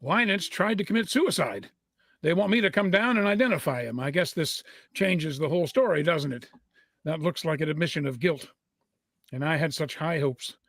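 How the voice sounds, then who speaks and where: A male actor as a courteous, anxious attorney in a 1930s radio mystery. His voice is fairly high and recorded very dry. He speaks hesitantly and imploringly, always polite. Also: animated, moderate pace, a bit bright, no echo. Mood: dejected. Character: earnest, anxious, courteous male lawyer pleading for help.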